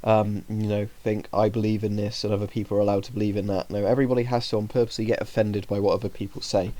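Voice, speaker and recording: A man's voice: on the low side, level tone, fast talker, dark tone. A young man with a cold, breathing heavily, chatting to his viewers in a rambling, filler-heavy way and growing indignant over the rules. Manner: indignant when reading rules aloud. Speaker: YouTuber talking to viewers. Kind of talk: casual vlog commentary.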